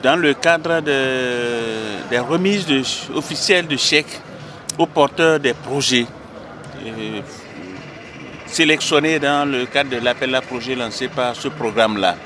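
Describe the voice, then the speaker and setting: A man with a Beninese French accent gives formal, measured interview answers as an association president, often pausing mid-phrase. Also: medium pitch. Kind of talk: interview answers, explaining a project. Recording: noisy outdoor urban recording, traffic behind.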